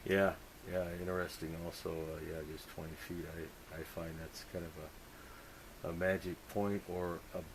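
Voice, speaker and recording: A man talking shop as a radio hobbyist, halting and rambling as he thinks out loud. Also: low-pitched. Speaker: amateur radio operator. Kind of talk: casual technical radio chat. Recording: hissy, noisy radio contact recording.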